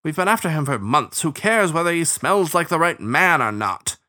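Man voicing a high, snappish starling deputy. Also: sing-song, moderate pace, bright, forceful tone. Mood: exasperated. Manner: snappish, sputtering. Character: impatient, blustering male starling deputy, fussy and indignant.

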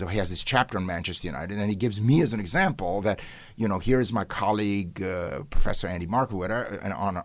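A man's voice: fairly low pitch, dry recording. An older man, a professor whose first language is Hungarian, telling long stories in an interview, rambling with many fillers and restarts, flaring up with animation now and then. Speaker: professor and guest. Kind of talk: conversational interview storytelling.

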